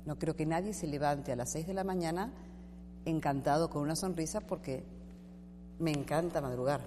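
A woman's voice: low-pitched, moderate pace. A woman speaking as a panel guest, explaining her points confidently. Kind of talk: discussion panel. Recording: reverberant room with steady hum.

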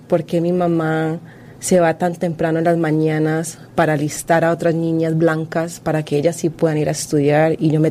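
Colombian-born woman being interviewed, low warm voice, earnest, restarts a lot, faint hiss. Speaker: guest author and historian. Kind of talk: radio interview answers.

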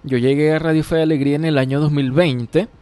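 A young Venezuelan man, a radio producer, chatting in a relaxed, rambling way on a live radio interview. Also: a little high, fast, no echo. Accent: Venezuelan Spanish. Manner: relaxed, rambling, many fillers and laughs.

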